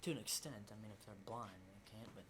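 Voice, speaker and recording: A man playing a battle-hardened, rattled survivor of a zombie apocalypse in an ASMR roleplay, rambling and swearing in hushed, halting speech. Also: lowish voice, noisy background, lightly acted. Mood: pensive, weary.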